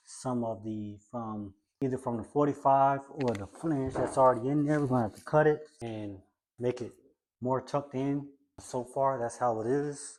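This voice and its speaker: A man's voice: medium pitch, a little mellow. Male DIY car-channel host, mid-range voice, informal walk-through delivery while working. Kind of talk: casual how-to vlog narration while working.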